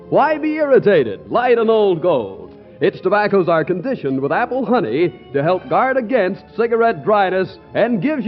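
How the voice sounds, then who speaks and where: A male radio announcer delivers 1940s sponsor copy in a high, very lively voice. The broadcast sounds dull, with music faintly behind him.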